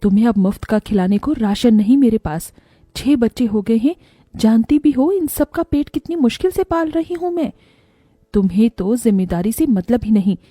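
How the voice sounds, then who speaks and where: A woman's voice: fairly high pitch, lively intonation, normal speed, mellow, no echo. A woman voicing a poor, hardworking mother in a cartoon story, loving and earnest, with an emotional delivery. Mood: indignant.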